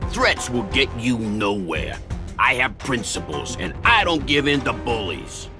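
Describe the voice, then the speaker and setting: A man voicing a suspicious, defiant gangster henchman in a video game, talking with deliberate emphasis. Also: mid-range voice, sing-song. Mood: scornful, defiant. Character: suspicious, principled male gangster henchman guarding his boss. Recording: game music behind the voice.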